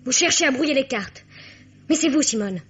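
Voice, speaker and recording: A woman's voice: high voice, lively intonation, fairly quick, fairly bright tone. An actress playing an indignant, wounded teenage girl in a French radio mystery, accusing and impassioned. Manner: accusing, impassioned, formal address. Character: indignant, wounded teenage girl confronting her father's mistress. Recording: dull, lo-fi vintage radio sound.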